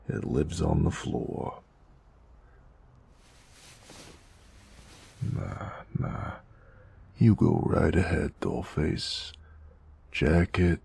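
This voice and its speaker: A man playing a very deep, gravelly noir mobster. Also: warm tone, exaggerated voice. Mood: tired, relieved. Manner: slangy, drawling, sighing, teasing. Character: big tired noir mobster, flirty, affectionate, wisecracking. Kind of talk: intimate roleplay audio drama, 1940s gangster slang.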